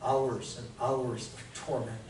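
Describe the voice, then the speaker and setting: An American man in his sixties preaching a sermon as a pastor, telling it conversationally in short, deliberate phrases. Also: medium pitch, little pitch movement. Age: 60s. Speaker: Lutheran pastor. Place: church sanctuary in front of a congregation. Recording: very echoey church hall, distant mic, faint noise.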